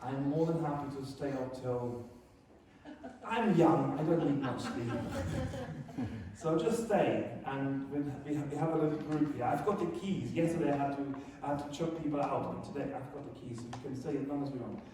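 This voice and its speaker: A man's voice: mid-range voice, normal speed, crowd noise. A man from Germany, a teacher, leading a conference workshop in a self-deprecating, joking manner. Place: conference room. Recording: echoey room, distant mic, faint noise.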